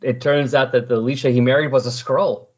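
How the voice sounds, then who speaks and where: A man's voice: mid-range voice, fairly even intonation, fairly quick, husky, muffled recording. A man hosting a podcast in casual, unscripted discussion. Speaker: podcast host.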